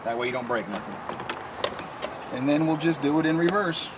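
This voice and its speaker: Casual man giving tutorial, clear background noise. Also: a little high, moderate pace. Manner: folksy, step-by-step instructing. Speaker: DIY video presenter. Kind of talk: casual hands-on tutorial narration while working. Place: in or beside a vehicle.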